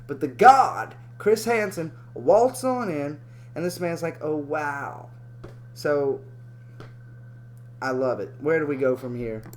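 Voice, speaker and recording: A man's voice: on the high side, expressive, slow, pauses often, a little hiss or hum. A man giving casual, profane and sarcastic reaction commentary over a video clip.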